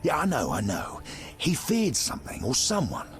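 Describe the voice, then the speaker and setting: A man's voice: a little high, wildly swooping pitch, moderate pace, a bit bright, faint music behind. An actor playing a friendly, eccentric old village man in a video game, chatty and folksy. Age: older.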